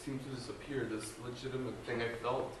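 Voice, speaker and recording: A man's voice: mid-range voice, level tone, brisk, background noise. Young guy from guatemala telling his faith story, lots of ums and restarts, sounds far off in a big echoey room. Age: young adult. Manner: hesitant, earnest, many fillers and restarts. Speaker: student giving testimony. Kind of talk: personal faith testimony. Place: large room with an audience. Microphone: no mic, distant recorder.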